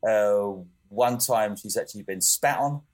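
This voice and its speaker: Low-voiced man rambling through a chat. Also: measured pace, darkish tone. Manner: rambling, many fillers and restarts. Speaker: podcast co-host. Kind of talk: casual chat.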